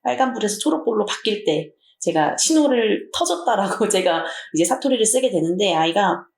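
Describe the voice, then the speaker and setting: A woman teacher from Jeju with a Jeju Korean accent, telling an anecdote in a chatty, animated way. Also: mid-range voice, fairly quick, creaky, roomy sound.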